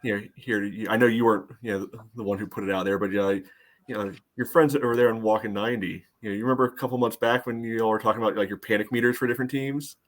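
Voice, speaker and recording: Deep-ish guy cohosting a soccer podcast, dry and kinda sarcastic, casual chat. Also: fairly even intonation, rapid-fire. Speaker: sports podcast co-host.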